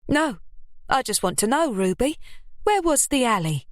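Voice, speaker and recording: A woman reading an audiobook, voicing a calm, controlled woman who asks pointed questions in an even tone. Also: a little high, normal speed, no echo, studio quality, voice pushed up. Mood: cool.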